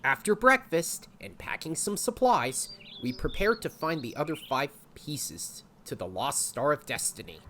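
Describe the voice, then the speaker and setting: A woman's voice: deep voice, sing-song, measured pace, a bit bright, slight background noise, full character voice. A woman voicing a plucky, adventurous male animal hero in an audio drama. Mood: resolute. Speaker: lead actor and story narrator. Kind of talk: audio drama acting and narration.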